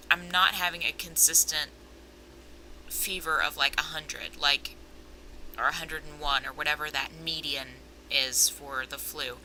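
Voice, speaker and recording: A woman's voice: low voice, bright, forceful tone, slight background noise, rough recording. A young woman vlogging casually about herself with a sore, swollen throat, rambling and self-aware, drifting into tangents. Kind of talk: casual personal vlog.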